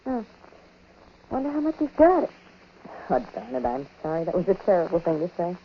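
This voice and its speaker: An American actress playing a plain-spoken, blunt but soft-hearted wife in a 1940s radio drama. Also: female voice, lowish voice, wildly swooping pitch, moderate pace. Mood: blunt, grudging. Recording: dull, hissy 1940s radio recording.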